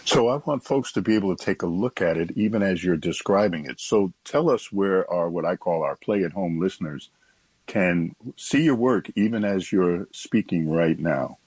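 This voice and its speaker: A man hosting a morning radio show and reading announcements in a steady announcer's manner. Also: deep voice, normal speed, husky, no echo. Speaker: radio host. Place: radio studio.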